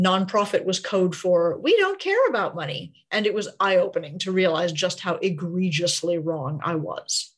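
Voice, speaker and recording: A woman hosting a music podcast, reading a clear, articulate introduction and then interviewing her guest. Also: mid-range voice. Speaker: podcast host.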